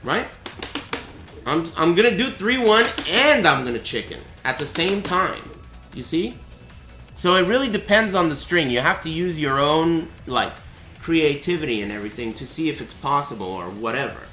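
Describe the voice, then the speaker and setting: A man with a raspy, cracking voice, teaching a fighting game on a live stream in a casual, explanatory way. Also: fairly high pitch, lively intonation, moderate pace, bright, forceful tone, reverberant, faint music behind. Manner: chatty, explanatory, asks "right?" often.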